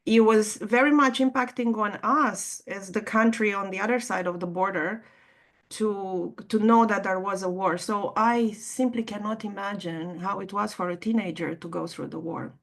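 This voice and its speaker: A middle-aged woman hosts a conversation. She asks her questions earnestly and piles on warm praise for her guest. Her voice sits at an ordinary middle pitch. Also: moderate pace, gravelly. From Romania. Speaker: host. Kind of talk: interview conversation.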